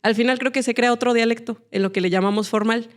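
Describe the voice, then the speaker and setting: A woman's voice: on the high side, steady tone, quick pace. Thoughtful Mexican woman guest. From Aguascalientes, Mexico. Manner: thoughtful, uses many fillers. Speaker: podcast guest. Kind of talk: casual chat.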